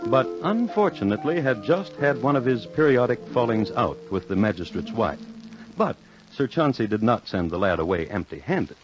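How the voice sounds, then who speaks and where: A man narrating a scripted old-time radio crime story in a droll, formal way. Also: lowish voice. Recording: muffled vintage radio recording. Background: dramatic music.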